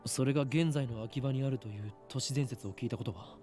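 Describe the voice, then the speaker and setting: A man's voice: mid-range voice, very fast, dark tone, close to an everyday voice. An actor playing a self-serious, inquisitive young man in a visual novel, with theatrical formal phrasing. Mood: serious, questioning. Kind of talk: Japanese visual novel voice acting. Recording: game dialogue with music behind.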